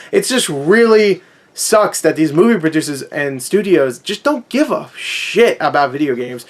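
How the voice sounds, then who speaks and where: Male vlogger, high-pitched voice, conversational and opinionated rant delivery, sarcastic with swearing. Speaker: movie review vlogger.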